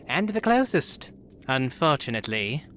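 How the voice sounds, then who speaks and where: A man's voice: mid-range voice, big pitch swings, moderate pace, a bit bright, a little hiss or hum. A man playing a snarky, skeptical rodent creature, chatty and sarcastic, in an audio drama. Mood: wry. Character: snarky skeptical rodent-folk city dweller.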